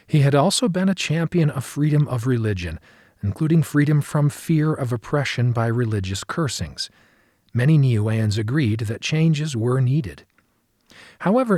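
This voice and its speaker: A male narrator reads scripted memoir prose at a mid-range pitch. His voice has a husky grain, and his delivery is measured and earnest. The sound is dry and close. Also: a little mellow, dry recording. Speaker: audiobook narrator.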